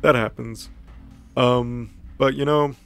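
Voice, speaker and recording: A man's voice: mid-range voice, fairly even intonation, slow, vocal fry, a bit bright, clean tone, soft background music. A man voicing a laid-back, friendly demon club owner with chummy, hesitant slang in a comic story recap. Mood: encouraging.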